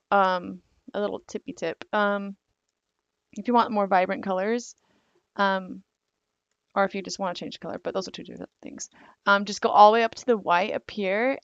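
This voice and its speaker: A young woman hosting a casual digital hair drawing tutorial, rambling with lots of fillers and apologetic asides, her voice croaky because she is losing it. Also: medium pitch, no room sound, lo-fi sound. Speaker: digital art tutorial host.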